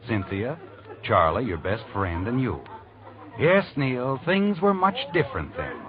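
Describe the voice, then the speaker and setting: Insinuating radio mystery narrator, tinny. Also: male voice, medium pitch, big pitch swings, measured pace. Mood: knowing, ominous. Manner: knowing, insinuating, second-person telling. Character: knowing, ominous male storyteller addressing the protagonist. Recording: tinny old radio recording with music.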